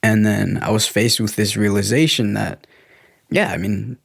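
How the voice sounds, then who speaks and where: A man's voice: lowish voice, steady tone, normal speed, vocal fry, slightly rough, no room sound, clean studio sound. A young American man talking candidly and informally about himself in a reflective personal conversation. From United States, born in Guatemala. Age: young adult. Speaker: podcast co-host telling his own life story.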